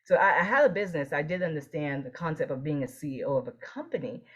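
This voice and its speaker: A woman's voice: sits low, fast, fairly bright tone, breathy edge. A woman from Haiti, speaking as a guest in an interview and telling her personal story in an earnest, reflective way. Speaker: guest, optometrist and leadership coach.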